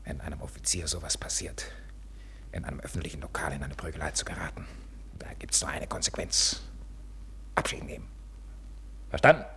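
Deep-voiced stiff army captain. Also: male voice, fairly even intonation, fairly quick, creaky, long pauses, fairly bright tone, in a whisper, full character voice. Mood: stern, restrained. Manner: formal, terse, military. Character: stiff, dignified Prussian army captain, resigned to disgrace. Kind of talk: 1950s German radio play acting. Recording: faint hiss of old radio recording.

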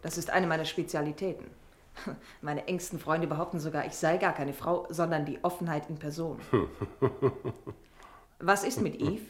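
An actress in a radio drama playing a frank, sharp-tongued working woman with a dry, teasing manner. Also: low voice, normal speed, natural voice. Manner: blunt, dryly teasing.

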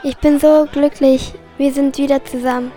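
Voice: high voice, little pitch movement, moderate pace, warm tone, soft background music, some chatter behind, lightly acted. A child of about eight or nine playing gangsters and royalty in an amateur school radio play. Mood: happy. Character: crown-greedy gangsters and a happy young princess.

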